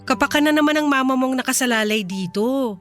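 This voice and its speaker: A woman's voice: high-pitched, brisk, a bit bright, soft background music. A woman acting a kind, reassuring company boss in a Filipino radio drama, earnest and composed, with a Filipino accent.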